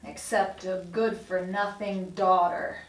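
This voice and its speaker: A woman's voice: medium pitch, fairly even intonation, takes it slowly, close to an everyday voice. Lady acting a complaining bitter old mother, guilt trips, dramedy read in a roomy space. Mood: sour, reproachful. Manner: sour, complaining delivery. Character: bitter, guilt-tripping elderly mother in nursing home. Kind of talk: table read of a TV dramedy script. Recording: roomy recording, mic at a distance.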